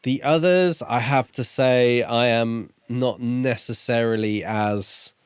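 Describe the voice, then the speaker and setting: A man co-hosting a podcast, chatting casually about books in a tentative, softly qualified way. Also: medium pitch, takes it slowly, clean tone, no room sound. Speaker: podcast co-host. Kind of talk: casual book-club podcast chat.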